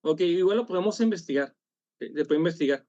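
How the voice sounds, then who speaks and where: A man leading an online study workshop, speaking haltingly, correcting himself and apologizing after coughs. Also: fairly high pitch, warm tone, dry recording, dull audio. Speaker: workshop leader.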